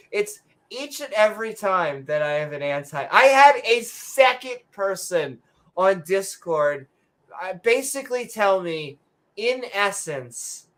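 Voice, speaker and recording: A woman co-hosting a podcast in casual chat, telling jokey stories and swearing freely. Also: medium pitch. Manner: animated, swearing, joking storyteller. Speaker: co-host.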